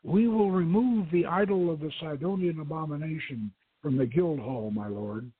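A man's voice: a little high, moderate pace, husky. A man voicing a devout, obedient merchant widow in a formal, submissive way, in a novel reading. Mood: devoted. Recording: thin, phone-like radio line.

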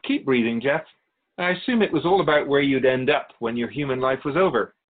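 Radio drama role: male actor as a wise spirit guardian of souls, didactic storyteller, animated intonation, mid-pitched. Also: phone-quality sound, natural voice. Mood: pleased, teaching. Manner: didactic, measured explanations with pointed asides. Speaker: interviewed guest. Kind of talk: scripted radio drama dialogue.

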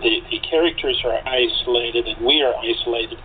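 A man, an actor, chatting in a halting, thoughtful way as an interview guest. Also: medium pitch. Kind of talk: casual interview chat. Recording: tinny phone-like call with hiss.

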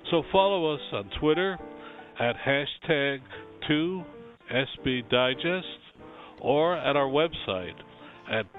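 A male radio host reading a scripted show introduction in a measured way. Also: a little high, expressive. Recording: tinny phone-like audio with faint music.

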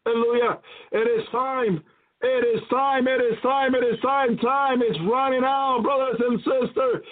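Male preacher, very high pitch, fervent repetitive exhortation, tinny phone-like radio audio. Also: flat delivery, unhurried. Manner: emphatic, repetitive, exclaiming preacher's delivery. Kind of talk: fervent apocalyptic sermon. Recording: thin phone-like radio sound.

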